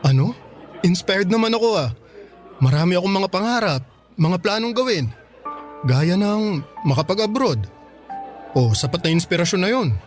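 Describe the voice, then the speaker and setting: Nice but blunt young filipino man, radio drama, lightish high voice, gentle, faint music. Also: big pitch swings, moderate pace, pauses often. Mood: teasing, casual. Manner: gentle, apologetic, candid. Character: kind but frank young man rejecting an admirer.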